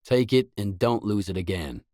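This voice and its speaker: An actor playing a gruff, guarded lone mercenary in a video game, speaking in a terse, stern, matter-of-fact way. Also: male voice, fairly low pitch, expressive, normal speed, darkish tone, dry recording, studio quality, lightly acted. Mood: blunt, irritated. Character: gruff, guarded lone mercenary man, blunt and cool-headed. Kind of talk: scripted game dialogue lines.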